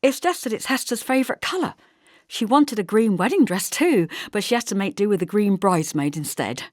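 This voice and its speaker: A woman's voice: medium pitch, lively intonation, a little fast, no echo, studio quality, voice pushed up, lightly acted. A woman voicing an awkward young female cousin in an audiobook, hesitant, evasive and apologetic. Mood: flustered.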